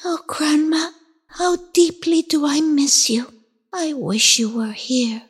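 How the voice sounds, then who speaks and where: A little match girl, very high childlike voice, slow and soft, pleading. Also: fairly even intonation. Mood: longing.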